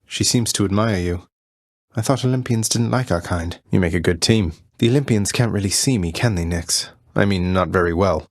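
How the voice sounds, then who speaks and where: An actor playing a young, rebellious prince of the underworld in a video game, earnest and wry, with polite understatement and dry sarcasm. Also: male voice, low voice, normal speed, dry recording. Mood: earnest, searching. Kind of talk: video game character voice lines.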